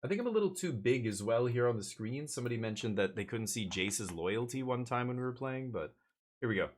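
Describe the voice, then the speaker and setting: A man's voice: mid-range voice, expressive, fairly quick. A man from Canada chatting casually on a live stream while gaming, opinionated and blunt, swearing and stumbling over repeated words as he rants.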